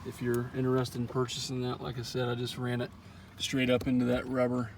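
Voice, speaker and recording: A man's voice: mid-range voice, brisk, slight background noise. Easygoing man explaining a truck install. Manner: relaxed, lots of "uh" fillers, folksy. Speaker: truck owner showing an install. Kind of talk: casual how-to walkthrough. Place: outdoors beside a truck.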